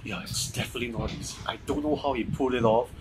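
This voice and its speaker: A man's voice: mid-range voice, steady tone, fast talker, background noise. A young man in his twenties with a Singaporean English accent, hosting a podcast over a video call and chatting loosely with frequent restarts. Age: 20s. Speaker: podcast host. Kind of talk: casual video-call chat. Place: home room with shelves. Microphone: earphone mic on video call.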